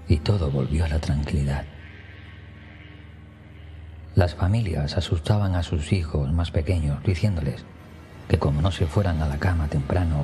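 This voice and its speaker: A very low male voice tells a horror tale as a scripted first-person narration. The tone is dark and mellow, often sinking close to a hushed whisper. Faint music plays underneath. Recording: music behind the voice. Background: background music.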